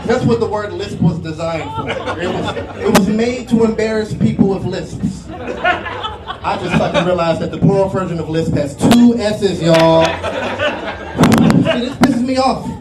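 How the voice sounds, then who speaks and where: A nervous man with a lisp doing a self-deprecating stand-up comedy set on a club stage. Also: sits high. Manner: self-deprecating, rambling asides to the crowd. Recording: roomy club sound from a distance, loud crowd laughter. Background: audience laughter and room noise.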